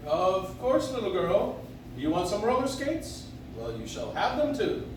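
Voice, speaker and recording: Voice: mid-range voice, sing-song, measured pace. A performer playing a kindly old male Santa Claus in an amateur live radio play, speaking genially and reassuringly. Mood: jolly, reassuring. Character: kindly old department store Santa Claus, jolly man. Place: coffee house stage, recorded from the audience. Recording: distant audience recording, very echoey, noisy.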